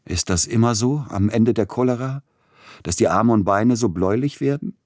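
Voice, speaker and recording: A man's voice: deep voice, moderate pace, husky, no echo, clean studio sound. A man reading the part of a dying composer in a fever, agitated and exclamatory, swinging between accusation and tenderness, in an audiobook. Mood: weak, anxious.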